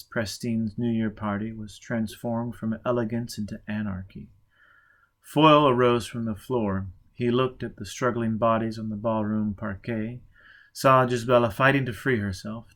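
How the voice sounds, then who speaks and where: A man reading a novel aloud as audiobook narration, with measured, dramatic pacing and rhythmic pauses. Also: medium pitch, a bit bright. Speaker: audiobook reader.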